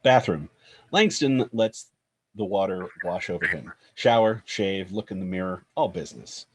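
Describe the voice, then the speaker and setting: A man reading screenplay stage directions as narrator in a scripted style, with a husky voice. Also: low-pitched, expressive, moderate pace, poor audio.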